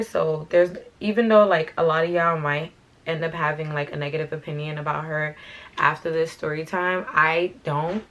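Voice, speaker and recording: A young woman hosting her channel, telling a casual story time in a chatty, slangy way while doing her hair, her voice a little raspy from being sick. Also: deep voice, fairly bright tone. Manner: chatty, slangy, repeats "like" and "you know what I'm saying". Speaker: fashion channel host.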